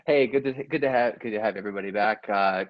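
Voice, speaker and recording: A man hosting an improvised mock-interview podcast, speaking haltingly and repeating his phrases, with a dull lo-fi sound. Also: fairly low pitch, no room sound. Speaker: podcast host.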